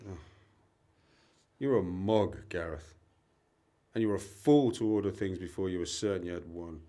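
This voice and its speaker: A man's voice: sits low, moderate pace, dark tone, natural voice. A man acting in an amateur radio play as a blunt, exasperated but supportive best mate.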